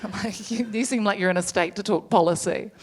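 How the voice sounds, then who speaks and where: A woman's voice: mid-range voice, a little hiss or hum. Stand-up comic, woman, thirties, New Zealand accent, chatty self-deprecating delivery, mid-range voice. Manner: chatty, self-deprecating, quick asides with laughter. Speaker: stand-up comedian. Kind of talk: stand-up comedy set. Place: comedy stage before a live audience.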